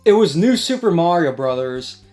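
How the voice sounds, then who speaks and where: Higher-voiced man explaining over soft music. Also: big pitch swings, moderate pace. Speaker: co-host of a gaming discussion. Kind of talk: casual discussion. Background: background music.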